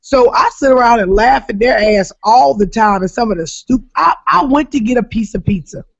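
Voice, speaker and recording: A woman from Jacksonville, Florida with a raspy voice, telling profane stories in casual chat as a comedian guest, often laughing loudly. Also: a little high, a little fast. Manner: brash, profane storytelling with loud laughter. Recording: dull lo-fi podcast audio.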